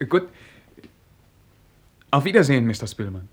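An actor in a German radio play playing a courteous, reserved young prince who speaks formally and hesitantly in a husky voice. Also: male voice, mid-range voice, sing-song, moderate pace. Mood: polite, hesitant.

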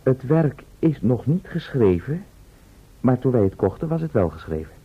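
A man playing a calm, precise time traveller from the future, explaining things in a radio play. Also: medium pitch, normal speed, breathy edge. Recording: dull old radio recording.

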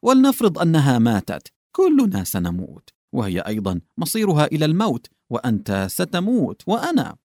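Tactless old official stumbling over his words, played by a man; raised, lively pitch. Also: fairly quick, hardly any pauses, dry recording, clean studio sound. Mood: flustered. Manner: fumbling, backtracking, stammering with "ah". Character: bumbling old male official, coughing, tactless.

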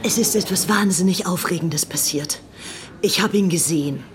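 An actress playing an infatuated, excitable young English society woman in a radio play, confiding breathlessly as if to a diary. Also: fairly low pitch, normal speed, natural voice. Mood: excited, gushing. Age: young adult.

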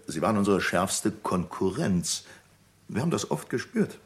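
A man playing a composed, discreet businessman giving testimony in a radio crime drama, speaking formally and deliberately. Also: on the low side, sing-song, gravelly. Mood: measured. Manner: formal, deliberate, hesitant pauses.

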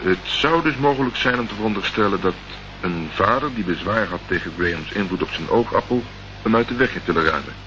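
A man's voice: on the low side, animated, a little fast, natural voice. An actor playing a wry, probing investigator in a radio crime play, polite but pointed in his questions. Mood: probing, dry. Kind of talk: Dutch radio play acting. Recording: dull, noisy old radio play recording.

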